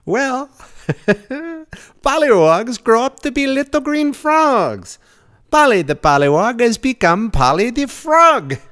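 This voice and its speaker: A woman's voice: on the high side, big pitch swings, exaggerated voice. A woman doing a kindly old shopkeeper man. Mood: reassuring. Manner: slow, gentle and patient. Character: kindly, calm old male pet shop owner.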